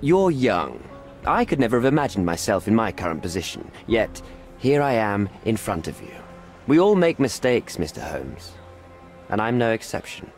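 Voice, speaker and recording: Defensive envoy, animated delivery. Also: male voice, on the low side, normal speed, soft background music. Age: middle-aged. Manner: defensive, placating, formal. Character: cornered middle-aged British envoy, evasive, smooth-talking, pleading. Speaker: British envoy. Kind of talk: video game cutscene acting.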